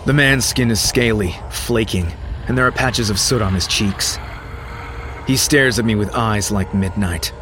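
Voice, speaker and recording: A man's voice: on the low side, a little slow, noisy background, lightly acted. A man playing a grim, haunted narrator, telling a first-person horror audio drama with tense, dramatic delivery. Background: prominent music.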